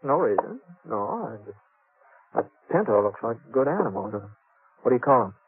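A man's voice: medium pitch, big pitch swings, husky. A man playing a modest, courteous drifting gunslinger in a 1950s radio western, speaking hesitantly and folksily. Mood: easygoing. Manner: hesitant, halting, folksy drawl in phrasing. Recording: dull old 1950s radio recording.

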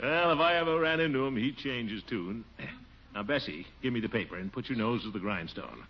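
An actor playing a pompous, blustering middle-aged city commissioner and uncle, with an American accent, in 1940s radio comedy style. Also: male voice, mid-range voice, expressive, fairly quick, lightly acted. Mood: eager. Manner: blustering, swooping, theatrical exclamations. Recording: muffled, noisy 1940s radio recording.

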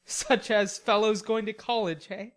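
A woman voicing a cheerful, theatrical young gentleman who teases and exclaims, as character dialogue in an audiobook. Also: mid-range voice, fairly even intonation, measured pace, pitched above the performer's own voice, lightly acted. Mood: teasing. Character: cheerful, teasing young gentleman, tall and melodramatic.

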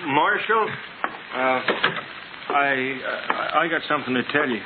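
An actor playing a timid, humble cowboy in a 1950s radio western, halting and nervous as he speaks. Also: medium pitch, animated, close to an everyday voice. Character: timid, hesitant young-sounding humble male cowboy. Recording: tinny, noisy old radio recording.

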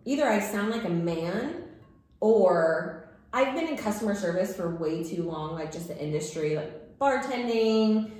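A woman's voice: mid-range voice, lively intonation, a little slow, darkish tone. Wry, swearing woman in an echoey room. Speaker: podcast co-host. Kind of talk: casual chat.

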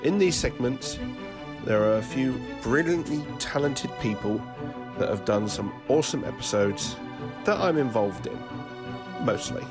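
A man with a mid-pitched voice reads a scripted podcast announcement. Loud music plays behind him throughout. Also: expressive, a little slow.

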